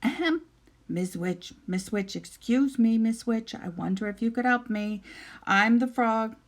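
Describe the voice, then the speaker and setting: A woman's voice: on the high side, a little slow. A woman voicing a young fairytale prince who is earnest, polite and insistent, and easily flustered.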